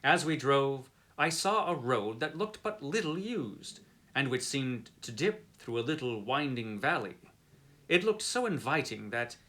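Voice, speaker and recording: A man narrating a gothic tale with theatrical flair, leaning hard into the drama. His voice is bright and forceful. He keeps a middling pitch for a man. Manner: theatrical, dramatic narration with wide swings in emphasis. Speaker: reader of a classic horror story. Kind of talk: melodramatic story reading.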